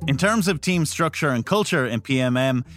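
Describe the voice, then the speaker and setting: A man's voice: medium pitch, lively intonation, moderate pace, a bit bright, soft background music. A man hosting a podcast, reading scripted linking narration and putting questions to guests.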